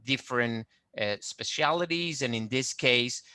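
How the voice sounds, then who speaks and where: Venezuelan-accented male lecturer, slow, bright and dry, plenty of uhs and ums. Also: medium pitch, no echo. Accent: Venezuelan Spanish. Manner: hesitant, many fillers, friendly explaining. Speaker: genealogist presenting a webinar. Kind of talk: online lecture with shared screen.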